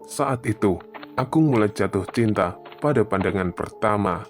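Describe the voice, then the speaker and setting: A man reading scripted narration for an animated story. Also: lowish voice, normal speed. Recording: music behind the voice. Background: music.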